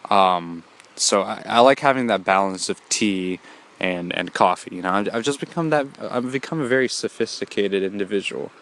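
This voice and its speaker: A man's voice: fairly low pitch, normal speed, fairly bright tone. A young man hosting a solo podcast, talking in a rambling casual way with many fillers and restarts, a bit congested from a cold. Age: young adult. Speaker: podcast host.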